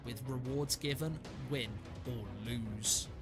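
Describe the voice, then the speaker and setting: A man's voice: mid-range voice, slow. A man reading scripted promotional narration as an announcer. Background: loud music.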